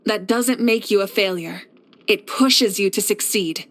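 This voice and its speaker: A composed, assured fire leader. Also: female voice, mid-range voice, a bit bright. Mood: earnest, assured. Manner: assured, measured, encouraging. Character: confident, composed, kindly fire archon war leader. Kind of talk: scripted video game character voice lines.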